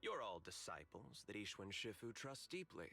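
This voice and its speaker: An actor playing a smooth, courteous male company manager in a video game, speaking in a formal, diplomatic manner. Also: fairly low pitch, sing-song, normal speed, sharp tone, soft background music, heavily acted. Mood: gracious, welcoming. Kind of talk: scripted video game voice acting.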